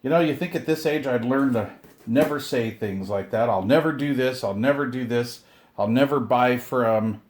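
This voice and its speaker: A man's voice: medium pitch, normal speed, a little hiss or hum. Middle-aged male host for casual unboxing videos; mid-range voice, rambling, chatty delivery with self-deprecating grumbles. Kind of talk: casual unboxing chat to camera.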